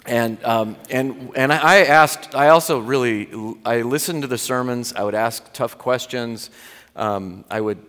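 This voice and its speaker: A man's voice: mid-range voice, unhurried, fairly bright tone, smooth. A middle-aged man from the Pacific Northwest, a college president giving a candid, reflective personal testimony with a self-deprecating streak. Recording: large hall with long reverb.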